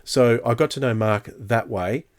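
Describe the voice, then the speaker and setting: Halting man hosting a casual podcast. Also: mid-range voice. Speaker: podcast host. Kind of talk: casual podcast talk, reading out listener comments.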